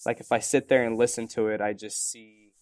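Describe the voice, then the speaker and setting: Romanian-born man, native Romanian speaker, smooth tone, musing casual answers, loose and rambling. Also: medium pitch, little pitch movement, fairly quick, a little mellow, dry recording, studio quality. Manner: reflective, rambling with many fillers. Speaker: interviewee, photographer and musician.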